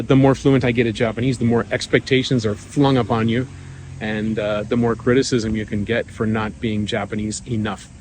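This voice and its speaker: A middle-aged man talking in a relaxed, rambling way, with many fillers and restarts, as a vlogger sharing his views. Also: medium pitch, slight background noise, low-quality recording. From United States. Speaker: vlogger living abroad. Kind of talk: casual monologue.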